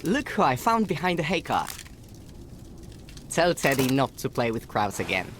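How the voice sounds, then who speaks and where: An actor voicing an earnest, dutiful young boy in a fairy-tale game, expressive and innocent. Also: medium pitch, moderate pace, slight background noise. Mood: dutiful, cheerful. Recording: music behind the voice.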